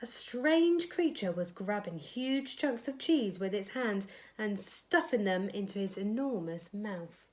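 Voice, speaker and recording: A woman's voice: mid-range voice, animated, unhurried, hardly any pauses, darkish tone. A woman reading a children's bedtime story aloud, expressively dramatising it for young listeners.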